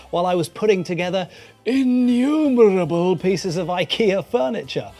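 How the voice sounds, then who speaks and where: A man's voice: high voice, darkish tone. A British man narrating a scripted but conversational video essay as its host, with wry, playful, self-deprecating asides. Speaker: YouTube host.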